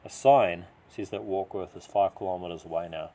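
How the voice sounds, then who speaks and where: A low-pitched male voice with a New Zealand accent narrates gritty fiction in the first person. He reads dramatically, with profane, emphatic turns of phrase. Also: moderate pace, warm tone. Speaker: author reading own short story.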